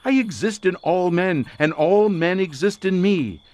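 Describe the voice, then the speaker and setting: An obsessed researcher in a mystical trance for a horror radio play. His voice is raised in pitch and swings dramatically up and down. He speaks in incantatory, halting phrases, as if watching visions. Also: expressive, a little mellow, no room sound. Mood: grand, rapt. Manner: theatrical, incantatory, halting with repeated words. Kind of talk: dramatic audio horror acting.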